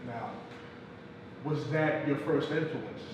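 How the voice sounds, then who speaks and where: A man's voice: mid-range voice, slow pace. A man hosting an interview, talking to his guest in casual, brotherly slang. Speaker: interviewer host. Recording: echoey room, far from mic, light noise.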